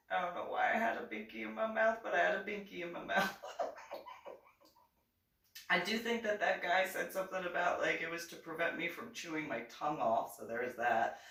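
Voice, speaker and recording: A woman's voice: low-pitched, distant mic. A woman veteran telling a personal story in a casual monologue, chuckling often and acting out the other people in it. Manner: animated, chuckles often, acts out other voices. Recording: echoey room, voice off-mic.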